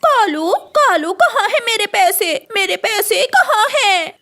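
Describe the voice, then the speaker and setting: A woman's voice: extremely high pitch, expressive, takes it slowly, pushed and bright, voice pushed up, exaggerated voice. A woman voicing a quarrelsome, melodramatic mother bird in a cartoon, scolding and dramatic. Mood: wailing, distraught. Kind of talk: exaggerated cartoon dialogue.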